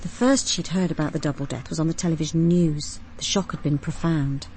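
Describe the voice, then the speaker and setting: A woman's voice: low-pitched, fairly even intonation, fairly quick, darkish tone, a little hiss or hum, lightly acted, low-quality recording. An actress playing an observant female detective, narrating a radio drama in a composed, precise way. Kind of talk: scripted first-person drama narration.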